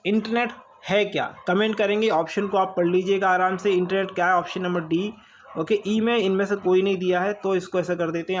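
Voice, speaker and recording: A man with an Indian accent and a high-pitched voice teaches in an informal exam-prep style. He goes through material instructively, repeating key phrases and punctuating them with short check words. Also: muffled recording, slight background noise. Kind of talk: informal lesson going through multiple-choice questions.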